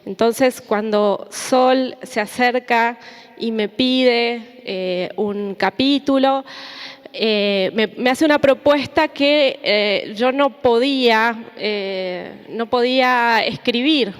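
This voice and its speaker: A woman's voice: on the high side, slow, clean tone. A woman gives an informal, reflective public talk, telling stories conversationally and checking in with tag questions. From Argentina or Paraguay border region. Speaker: academic, activist and book contributor presenting at a book launch. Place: indoor hall at a public book presentation. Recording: reverberant hall.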